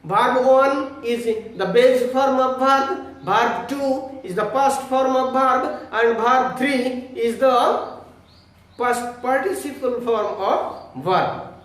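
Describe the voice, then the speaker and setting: Very slow talking guy teacher, high voice, explains grammar haltingly, super echoey. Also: fairly bright tone. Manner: halting, instructional, with repeated check questions. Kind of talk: online grammar lesson, explaining to students. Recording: very echoey room, distant mic.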